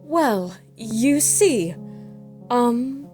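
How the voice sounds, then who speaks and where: A woman voicing a kindly, patient female pony schoolteacher with a gentle manner in a cartoon fan audio drama. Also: on the high side, animated, drawn-out words. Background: music.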